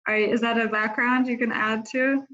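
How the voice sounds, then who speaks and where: A woman's voice: a little high, flat delivery, brisk, sharp tone. A woman hosting an online workshop, chatting casually and a bit hesitantly as she organises people over a video call. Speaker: online workshop host managing breakout rooms. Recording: dull lo-fi video call audio.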